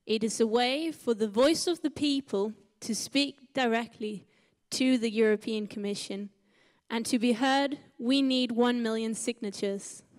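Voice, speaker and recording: A woman who grew up in Uganda giving a prepared press conference speech in earnest, measured short phrases. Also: a little high. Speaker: climate initiative spokeswoman. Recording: echoey hall with long reverb.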